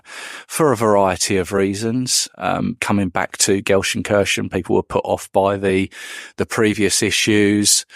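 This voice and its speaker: A man's voice: fairly low pitch, gravelly, dry recording, clean studio sound. A man hosting a podcast, giving rambling on-the-spot commentary from a football stadium, with many ums and restarts. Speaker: podcast host reporting from a match. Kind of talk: on-the-spot match-day commentary. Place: at a football stadium, outdoors and in the stands.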